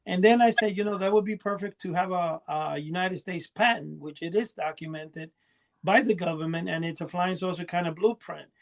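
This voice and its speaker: A man's voice: sits high, moderate pace, gravelly. An older man from Cuba chatting and telling stories as a podcast host, in a rambling, self-interrupting way. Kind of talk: casual conversational storytelling.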